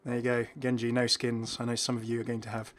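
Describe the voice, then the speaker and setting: A man's voice: medium pitch, completely flat delivery, quick pace. Enthusiastic man hosting a gaming video. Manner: enthusiastic, chatty. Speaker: host of a gaming video channel. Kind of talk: casual video game showcase commentary.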